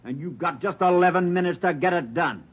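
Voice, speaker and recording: A man's voice: high voice, a little fast, slightly rough. An actor playing a hostile bomber in a 1940s radio crime drama, with slangy, sneering tough-guy talk and barked threats. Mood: furious, demanding. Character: hostile bomber holding city hall hostage, demanding his brother. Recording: muffled old 1940s radio recording.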